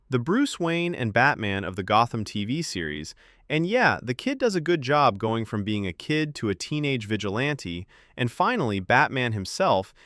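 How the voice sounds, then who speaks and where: A man's voice: medium pitch, fairly bright tone, no room sound, studio quality. A man hosting a fan ranking video, giving enthusiastic, opinionated scripted narration. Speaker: host of a comic film ranking video.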